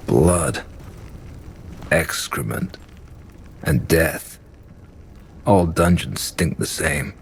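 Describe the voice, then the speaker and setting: Deep-voiced, grim, pious inquisitor. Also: male voice, gravelly, in a large hall, slight background noise, exaggerated voice. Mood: grim, stern. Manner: measured, formal, stern. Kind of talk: video game character acting.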